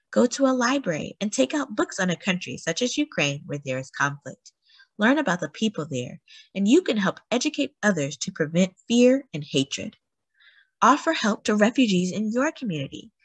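Lively, breathy woman reading aloud. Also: mid-range voice, no echo. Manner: slow, careful storybook reading with lively rises on key words. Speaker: children's book reader. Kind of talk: classroom read-aloud.

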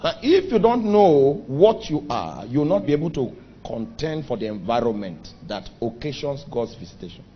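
A man's voice: big pitch swings, slightly rough, lo-fi sound. A Nigerian man preaching a sermon, emphatic, drawing out key phrases and rising suddenly. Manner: emphatic preaching with sudden pitch and volume swings, drawn-out phrases. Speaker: pastor.

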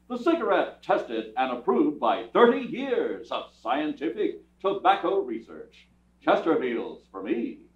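A man acting an earnest pitchman reading a 1950s-style radio commercial with emphatic delivery. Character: earnest male cigarette pitchman. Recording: muffled distant roomy live stage recording.

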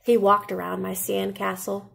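A woman in her thirties reading a children's picture book aloud in an expressive, deliberate way, like a teacher. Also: mid-range voice, vocal fry, slightly rough. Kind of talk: animated children's storybook read-aloud. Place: indoor classroom.

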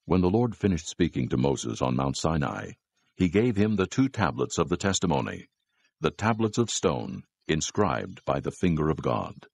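A man narrates scripted scripture. His voice is low and gravelly, with animated rises and falls. It is recorded very dry and close. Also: moderate pace, no echo.

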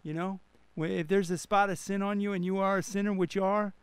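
High-pitched gravelly man preaching on radio. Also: flat delivery, fairly quick, no room sound. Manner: emphatic, repeats phrases for stress. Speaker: pastor and radio co-host. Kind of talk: animated radio preaching and banter.

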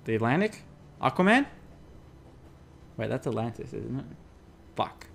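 A man's voice: mid-range voice, big pitch swings, unhurried, vocal fry, a bit bright, slight background noise. A young adult man giving playful, sarcastic, exclamatory casual commentary while playing a video game. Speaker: let's play host. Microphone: desk USB microphone.

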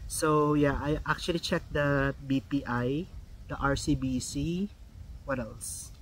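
A man's voice: a little high, steady tone, slow pace, slight background noise, poor audio. A young Filipino man with a Filipino accent and Filipino as his first language, vlogging casually, with many ums and restarts. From Philippines. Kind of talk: casual vlog talk.

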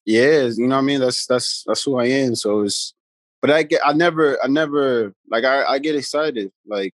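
A man, a guest telling stories in a relaxed, rambling way on a video call from his phone at home. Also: mid-range voice, normal speed, dry recording. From the Bronx, New York. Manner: laid-back, rambling, many "you know what I mean" tags. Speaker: guest, pro basketball player. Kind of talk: casual storytelling chat. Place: room at home, indoors. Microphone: phone camera held close on a video call.

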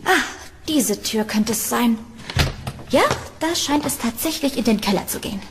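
A woman playing a curious, uneasy young tenant in a horror audio drama, with expressive delivery. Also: a little high, normal speed, noisy background. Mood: curious, wary. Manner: expressive, talking to herself. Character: curious, uneasy young female tenant exploring a creepy house. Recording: music behind the voice.

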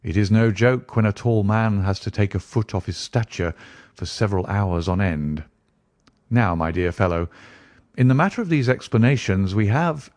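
A self-assured gentleman detective read by a man speaks with courteous, measured formality in a storybook. The voice is on the low side and richly inflected. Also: dry recording, close to an everyday voice. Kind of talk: audiobook character dialogue.

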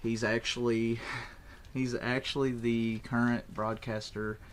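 Male tour guide, average pitch, casual and explanatory. Noisy location audio. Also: little pitch movement, unhurried. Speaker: local guide to an abandoned building. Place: abandoned building, outdoors at the start.

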